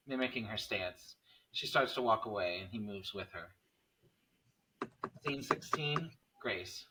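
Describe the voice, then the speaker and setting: A man's voice: medium pitch, sharp tone, a little hiss or hum, poor audio. A man reading stage directions as narrator in a scripted table read of a screenplay.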